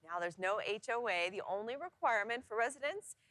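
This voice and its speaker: A woman's voice: on the high side, expressive, fairly quick, fairly bright tone, no room sound. Female news co-anchor, dry studio sound.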